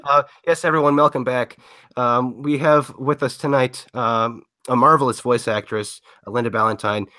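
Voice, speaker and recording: Nasal, hesitant podcast host with a cold. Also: male voice, medium pitch, fairly even intonation, moderate pace, sharp tone. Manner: hesitant, filler-heavy, giggly. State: getting over a cold. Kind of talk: casual podcast interview.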